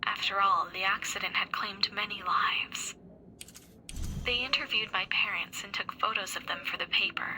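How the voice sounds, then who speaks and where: Very bright, earnest young woman. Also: medium pitch, fairly even intonation, moderate pace, slight background noise, rough recording. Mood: somber. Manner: earnest, storytelling. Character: earnest young woman recounting a childhood tragedy. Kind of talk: video game cutscene dialogue.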